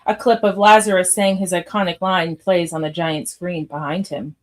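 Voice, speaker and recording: A woman's voice: on the low side, steady tone, normal speed. A woman narrator reading screenplay stage directions aloud in a scripted live read.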